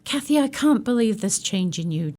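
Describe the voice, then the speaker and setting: A woman's voice: medium pitch, animated, moderate pace, darkish tone, close to an everyday voice. A woman playing a blunt, reproving household servant in a period audio drama. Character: frank, scolding female household servant.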